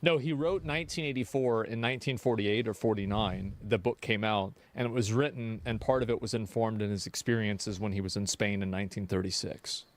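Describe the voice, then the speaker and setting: A man's voice: fairly low pitch, steady tone, fairly quick, few pauses. A man giving casual reaction commentary, blunt and ranting, swearing freely. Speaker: streamer.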